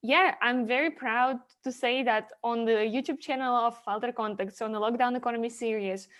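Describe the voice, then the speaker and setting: A woman's voice: a little high, fairly even intonation, moderate pace. A woman hosting an online volunteer meeting over a video call, thanking people and introducing speakers with many fillers and restarts. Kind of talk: casual video call chat.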